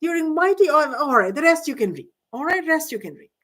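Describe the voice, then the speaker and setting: A woman's voice: sits high, big pitch swings, brisk, fairly bright tone, distant mic. An older woman vlogger talking in a rambling, hesitant way, full of fillers and restarts. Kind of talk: rambling casual monologue.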